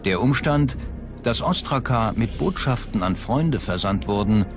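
A man's voice: fairly low pitch, lively intonation, measured pace. Male documentary narrator over prominent music. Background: background music.

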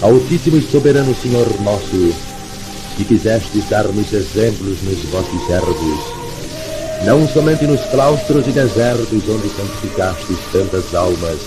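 A man with a Brazilian accent solemnly reciting prayer as a 1950s radio announcer, formal and reverent, on an old 78rpm disc. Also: fairly low pitch. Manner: formal, reverent, measured oratory. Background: music, record crackle.

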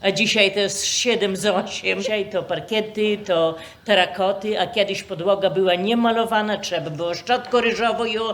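A woman's voice: medium pitch, normal speed, few pauses. An older woman, casually reminiscing. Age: 60s or older.

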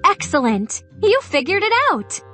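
Peppy 10 yr old boy leader for a kids game, high bouncy voice, cheering you on and explaining stuff, music behind. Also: big pitch swings, a little slow. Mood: excited, encouraging. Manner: encouraging, clearly instructive. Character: Ryder, upbeat ten-year-old boy rescue team leader. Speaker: game guide. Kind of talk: children's educational game narration. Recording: music under the voice.

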